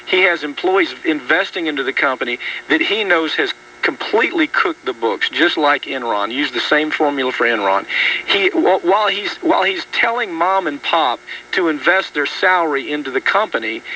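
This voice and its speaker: A man's voice: on the high side, sharp tone. A man hosting a political talk radio show, talking indignantly and often restarting his sentences. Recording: dull distant radio broadcast with faint hum.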